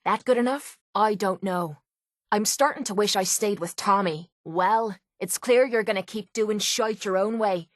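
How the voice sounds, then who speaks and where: A woman's voice: medium pitch, a little slow, no room sound, clean studio sound, natural voice. A woman playing a brash, foul-mouthed young female cage fighter in a video game, with an Irish accent and a blunt, cocky way of talking. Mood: hostile, fed up. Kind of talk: video game companion voice lines.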